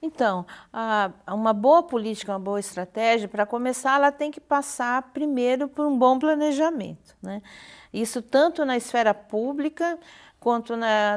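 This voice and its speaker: A woman with a Brazilian Portuguese accent answering as an academic guest in an interview, explaining in halting phrases with repeated words and checking tags. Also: on the high side. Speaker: university professor and communication researcher, interview guest.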